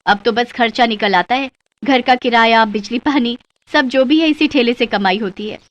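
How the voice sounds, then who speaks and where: A woman's voice: a little high, moderate pace, lo-fi sound, close to an everyday voice. A woman playing a humble, earnest working mother in a cartoon story. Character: humble, hardworking mother running a food cart. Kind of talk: Hindi moral-story cartoon voice acting.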